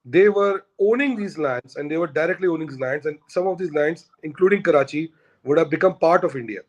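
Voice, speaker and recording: A man's voice: fairly high pitch, a little fast, lo-fi sound. A man, an Urdu speaker, arguing his points emphatically in a conversational discussion. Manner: emphatic, argumentative, repeats points. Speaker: guest.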